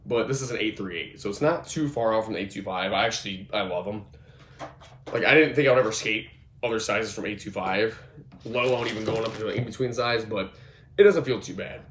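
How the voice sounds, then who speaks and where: A man in his twenties with a voice hoarse from a cold, casually walking through his skateboard setup, full of fillers and restarts. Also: on the low side, fairly quick, fairly bright tone, slight background noise. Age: 20s. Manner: rambling, filler-heavy, moves around while talking. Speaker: skateboarding vlogger. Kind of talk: casual product walkthrough. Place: indoor room with gym mat. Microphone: phone or camera moved around. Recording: echoey room.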